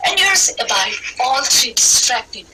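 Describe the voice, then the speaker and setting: A woman's voice: high voice, very animated, measured pace, noisy background. A woman reciting Shakespearean verse theatrically, declaiming it line by line. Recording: distant sound with music behind. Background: music.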